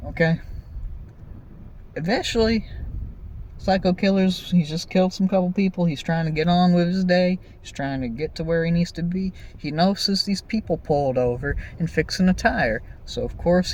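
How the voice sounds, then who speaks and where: A man's voice: a little high, slight background noise, poor audio. A man giving an exasperated, profane, comedic movie review in a conversational storytelling way, with exaggerated emphasis. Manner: exaggerated, animated emphasis with repeated phrases. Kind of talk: ranting, comedic film review commentary.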